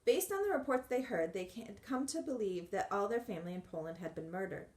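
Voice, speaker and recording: A woman reading a memoir aloud in a measured, earnest way, with occasional restarts. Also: medium pitch, expressive, darkish tone, echoey room. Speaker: audiobook reader.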